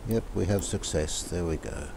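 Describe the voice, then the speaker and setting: A man from Australia narrating hands-on repair work casually, unhurried and halting as he thinks aloud. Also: low voice, creaky, noisy background. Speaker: radio restorer presenting his own repair videos.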